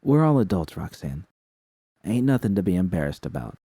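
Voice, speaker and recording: A frank, caring young woman technician read by a man in an audiobook story. The delivery is slangy, halting and reassuring, in a mellow voice with a dry, close sound. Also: deep voice, very animated, breathy edge, dry recording, full character voice.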